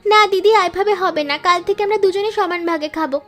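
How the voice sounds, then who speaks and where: A woman plays a young flower-selling girl in a cartoon fable. Her voice is extremely high and sweet, and she speaks earnestly and fondly, like a devoted little sister. Music plays underneath. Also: quick pace, fairly bright tone. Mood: affectionate. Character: eager, affectionate young younger sister, poor flower seller. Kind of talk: Bengali cartoon moral-story dialogue.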